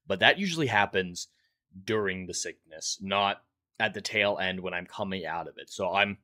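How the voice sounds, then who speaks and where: A young man with a fairly low voice that carries a bright, forceful edge. He hosts a livestream, talking straight to his viewers with an earnest, welcoming delivery that leans toward an announcer's. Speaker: livestream podcast host. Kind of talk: livestream monologue, chatting with viewers.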